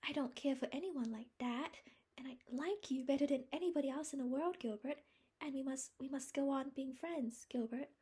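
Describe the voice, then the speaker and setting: A woman's voice: fairly high pitch, steady tone, moderate pace, warm tone, voice pushed up. A woman reading an audiobook voices a dreamy, eager young woman who speaks breathily and often drops to a whisper. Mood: distressed. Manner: breathy, rapturous, at times whispering. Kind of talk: audiobook character voice.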